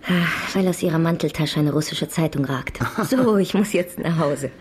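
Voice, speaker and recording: An actress as an enigmatic young Russian émigré widow in a radio drama. Her voice sits low for a woman. She is calm, direct and unhurried, quietly forward with the man she addresses. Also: natural voice. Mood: teasing, composed. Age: young adult.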